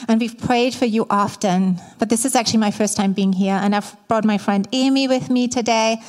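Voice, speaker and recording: A middle-aged woman from Germany with a German accent, preaching as a guest speaker to a church congregation, candid and a little halting, with fillers. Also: fairly high pitch, steady tone, a little mellow. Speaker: guest speaker, ministry staff. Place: church sanctuary. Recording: long reverb of a large church hall.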